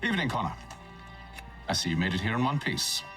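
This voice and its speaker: An actor in a video game playing a haughty older Templar leader, dry, formal and condescending. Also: male voice, lowish voice, very animated, quick pace, distant mic, music in the background. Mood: coolly sardonic. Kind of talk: video game cutscene acting.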